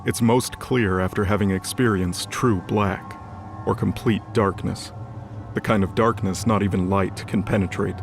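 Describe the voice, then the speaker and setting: Low male narrator over prominent music. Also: steady tone, measured pace, creaky. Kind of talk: scripted fantasy audio drama narration. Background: music bed.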